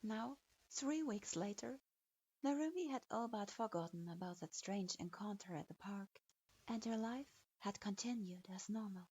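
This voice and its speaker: A woman narrating fan fiction in a slow, measured reading. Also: medium pitch, a little mellow, slightly rough. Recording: dull lo-fi recording.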